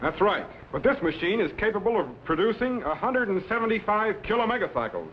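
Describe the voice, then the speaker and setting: A man's voice: sits high, big pitch swings, a little fast. An American man playing an authoritative scientist captain in a vintage sci-fi serial, explaining things in an assured, patient mentor's manner. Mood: calm, instructive. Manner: didactic, assured. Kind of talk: 1950s sci-fi serial acting. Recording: dull, noisy vintage broadcast soundtrack.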